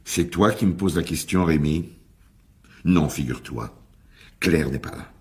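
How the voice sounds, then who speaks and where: An actor playing a brusque but caring male confidant in a radio mystery, with a raspy voice. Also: rumbling low, a little slow, no room sound, heavily acted. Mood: cold, tense. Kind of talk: French radio mystery drama acting.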